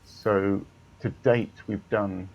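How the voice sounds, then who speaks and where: A man with a low, gravelly voice explains things in an easygoing, measured way. He comes through a voice-chat line that sounds a little dull and muffled. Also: fairly even intonation. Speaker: guest, racing club organizer. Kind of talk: casual interview over voice chat.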